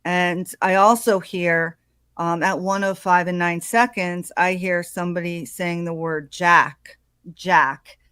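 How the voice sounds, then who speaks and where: A woman talks through a true crime case in a casual, halting way, filling her pauses with ums and uhs. Her voice sits on the low side. The sound is dry, with no room echo. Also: steady tone, a bit bright, smooth. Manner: hesitant, full of ums and uhs. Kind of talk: casual video commentary.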